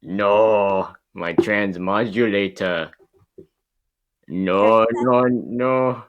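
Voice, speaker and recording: A man's voice: on the low side, very slow, smooth, dull audio. Man playing an indignant, ranting mad scientist villain. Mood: outraged. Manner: declamatory, indignant. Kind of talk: cartoon table-read acting.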